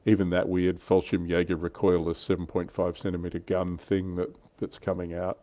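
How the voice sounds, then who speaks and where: A man's voice: sits low, monotone, moderate pace, warm tone, dry recording. A middle-aged man, hosting a casual livestream chat about tabletop wargaming. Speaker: livestream host.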